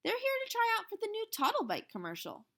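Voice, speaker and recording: A woman's voice: very high-pitched, huge pitch swings, fast, sharp tone, pitched above the performer's own voice, heavily acted. A woman voicing a friendly, helpful young office worker at an advertising agency in a storybook reading.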